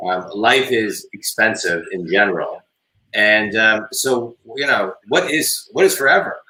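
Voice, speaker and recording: Host, man in his forties, low, bright tone, eager questions, roomy echo. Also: a little slow. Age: 40s. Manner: eager, repeats "right?" and "so" often. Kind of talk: live business interview.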